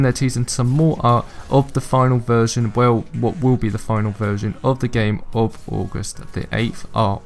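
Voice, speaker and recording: A young man hosting a gaming channel, giving an eager, opinionated rundown of upcoming game updates. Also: mid-range voice. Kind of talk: game news commentary. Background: faint background music.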